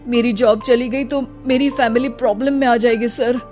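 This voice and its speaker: A woman's voice: fairly high pitch, fairly even intonation, normal speed, darkish tone, natural voice. An anxious young male office worker from a cartoon , voiced by a woman. He is hesitant, apologetic and deferential, with faint music underneath. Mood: anxious, pleading.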